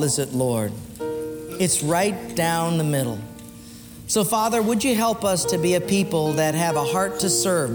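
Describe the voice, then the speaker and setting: Pastor guy preaching hard then going quiet, kinda high voice, long echo in the church, faint music behind. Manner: earnest, emphatic preaching that swings between lifted and hushed. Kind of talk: sermon ending in prayer.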